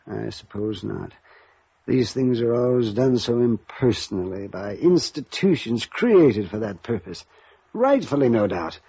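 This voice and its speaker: Timid, husky older gentleman. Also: medium pitch, animated, a little slow, no echo, heavily acted. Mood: resigned, regretful. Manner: formal, courtly, hesitant phrasing. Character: perturbed, conscience-stricken older gentleman, formal and timid. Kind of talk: 1950s radio drama acting. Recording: dull, lo-fi vintage radio recording.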